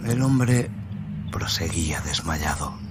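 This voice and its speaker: A man narrating a story in a dramatic radio-play style, solemn and suspenseful, with phrase endings that drop to a hush. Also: rumbling low, lively intonation, slow, very noisy. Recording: music bed under the voice. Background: music.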